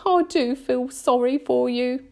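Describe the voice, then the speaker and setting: A woman voicing an anxious, melodramatic female spider from a storybook, mournful and drawn-out in her delivery. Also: extremely high pitch, animated, voice pushed up, heavily acted. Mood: tender pity. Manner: dramatic, drawn-out, lamenting delivery.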